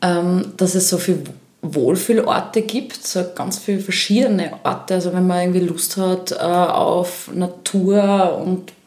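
A woman's voice: fairly low pitch, unhurried, echoey room. A woman in her thirties from Upper Styria with a Styrian Austrian accent, chatting casually as a podcast guest with many fillers. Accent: Styrian Austrian German. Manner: chatty, animated, many fillers, laughs easily. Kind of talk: casual chat.

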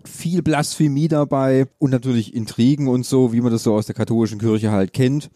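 A man recapping a series for his friends in loose, rambling sentences. His voice is mellow and warm, recorded close with no room sound. He stops, restarts and corrects himself as he goes. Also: medium pitch, fairly even intonation, brisk, no echo. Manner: rambling, self-correcting retelling. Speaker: podcast co-host reviewing series. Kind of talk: casual chat.

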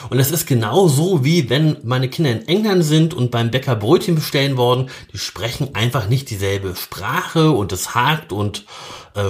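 Calm explanatory German therapist host. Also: male voice, medium pitch. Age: middle-aged. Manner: calm, explanatory, with filler sounds. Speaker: couples therapist and podcast host. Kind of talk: solo podcast monologue.

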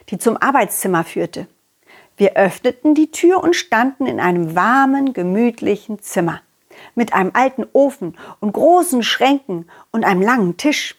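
A woman narrating a children's story in a simple, expressive, child-directed way. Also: on the high side, husky.

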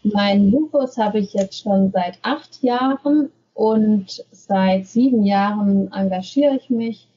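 A woman speaks conversationally on a podcast. Her voice is dark and husky at a medium pitch, in a dull recording. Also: takes it slowly, hardly any pauses. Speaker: podcast participant, self-help group member.

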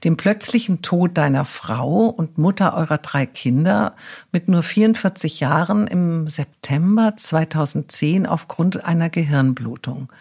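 Husky, lower-voiced woman hosting a podcast. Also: darkish tone. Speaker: podcast host. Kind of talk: introduction to a podcast interview, prepared narration.

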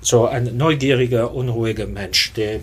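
A man in his fifties with a Dutch accent, a podcast guest talking in a reflective way and often pausing to find his words. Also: mid-range voice, breathy edge. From Netherlands. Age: 50s. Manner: reflective, searches for words with many fillers. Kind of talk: casual interview talk.

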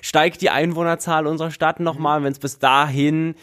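A man's voice: a little high, flat delivery, normal speed, fairly bright tone. A man working as a reporter who chats with the host and eagerly delivers figures and facts. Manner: enthusiastic, stresses figures and facts. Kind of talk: casual news report in conversation.

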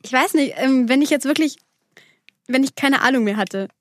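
A woman's voice: high voice, moderate pace, a bit bright, dry recording, clean studio sound. A young woman in her twenties co-hosting a podcast in casual chat, friendly and teasing, laughing often. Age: 20s. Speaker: podcast co-host.

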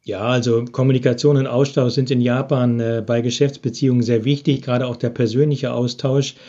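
A man's voice: medium pitch, level tone, fairly quick, mellow, highs cut off. A man being interviewed from abroad about business, answering with many hesitant filler sounds over a remote connection. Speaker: business expert interviewed from Japan.

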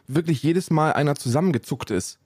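A man chatting comically on a podcast, halting and rambling, dropping in bits of English. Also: on the high side, lively intonation, darkish tone, breathy edge, dry recording, clean studio sound. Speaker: podcast co-host. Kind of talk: casual comedic podcast banter.

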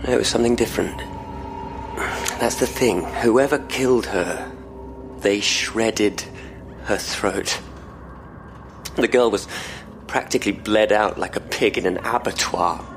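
An actor playing a distraught, sleepless police constable in an audio drama, speaking haltingly and earnestly. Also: male voice, fairly low pitch, slight background noise. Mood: grim, haunted.

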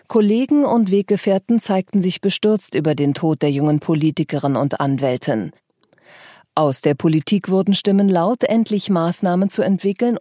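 An actress playing a sober radio newsreader, reading scripted news in a formal, factual manner. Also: female voice, lowish voice, dark tone, no room sound, studio quality.

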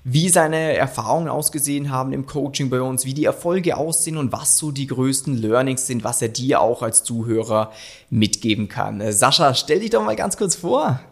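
A man's voice: mid-range voice, animated, normal speed, vocal fry. A man, a fitness coach, hosting an interview and prompting his guest with many affirming murmurs. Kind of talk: podcast interview.

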